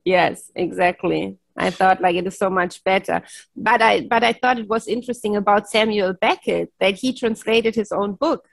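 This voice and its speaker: Cheerful German woman on a headset, slightly gravelly. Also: mid-range voice, moderate pace, dry recording. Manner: cheerful, laughs often, many yes and right tags. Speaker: keynote speaker. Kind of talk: casual video-call chat. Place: home office.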